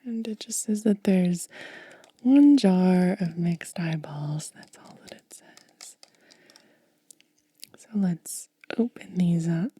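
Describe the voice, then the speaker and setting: Very slow, breathy whispering woman. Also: fairly low pitch, no room sound. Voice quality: breathy, mostly unvoiced whisper. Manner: gentle, unhurried, pausing between short phrases. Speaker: ASMR host. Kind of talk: ASMR unboxing, hushed and soft-spoken. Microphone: close ASMR microphone.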